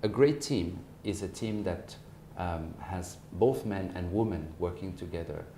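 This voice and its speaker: A man in his forties with a French accent answers interview questions in a low, mellow voice. He phrases things carefully and hesitantly, and the room adds a noticeable echo. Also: unhurried. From France. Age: 40s. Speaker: company managing partner, interview guest. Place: office, indoors. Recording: roomy echo, faint background noise.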